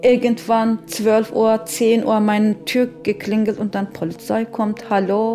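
A woman's voice: fairly high pitch, level tone, a little slow, dark tone. A woman recalling her own experiences as an interviewee. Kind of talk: personal recollection. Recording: music playing behind the voice. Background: music.

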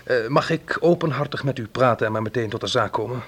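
Casting: male actor for polite club proprietor on the defensive, hesitant radio-play delivery, rough-edged medium voice. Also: medium pitch, fast, close to an everyday voice. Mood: polite, uneasy. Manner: hesitant, formal, careful. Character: polite, uneasy club owner defending himself.

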